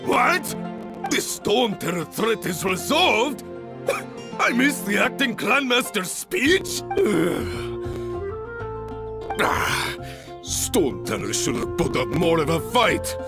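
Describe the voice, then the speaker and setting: An overeager young adventurer. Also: male voice, high voice, big pitch swings, slow, pauses often, over music, exaggerated voice. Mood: deflated. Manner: theatrical, boastful.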